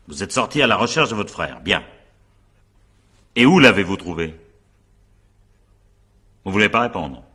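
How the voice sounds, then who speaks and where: An actor playing an examining magistrate in a French radio crime drama, probing and insistent, with a husky voice and pointed tag questions. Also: male voice, low voice, sharp tone, full character voice.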